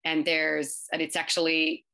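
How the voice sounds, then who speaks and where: A woman in her thirties, English not her first language, giving quick, chatty interview answers full of fillers and restarts. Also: low voice, completely flat delivery, gravelly, sharp tone. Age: 30s. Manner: fast, filler-heavy, many restarts. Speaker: startup founder.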